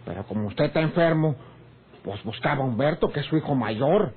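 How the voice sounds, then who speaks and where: A man's voice: on the high side, lively intonation, brisk, breathy edge. An actor playing a cheeky ranch foreman with a rural Mexican accent in an old radionovela. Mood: uneasy, evasive. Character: cheeky, flirtatious Mexican ranch foreman, rough around edges. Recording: tinny, noisy old radio drama recording.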